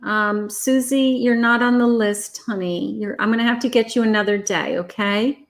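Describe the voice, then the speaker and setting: A woman's voice: medium pitch, steady tone, moderate pace, creaky. A woman doing a live tarot reading, chatty and reassuring, calling viewers honey and sweetheart, her voice starting to wear out. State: losing her voice. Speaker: psychic tarot reader.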